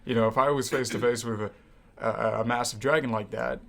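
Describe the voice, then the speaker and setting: A young man co-hosting a student podcast, talking casually about movies with animated, hyperbolic reactions. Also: mid-range voice, brisk, creaky. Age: young adult. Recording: noticeable background room noise.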